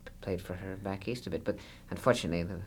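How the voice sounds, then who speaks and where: A man playing a frivolous New York entertainer who gives offhand, deadpan replies in a comic radio interview. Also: low-pitched, fast, a little hiss or hum, close to an everyday voice. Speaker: half of a singing duo.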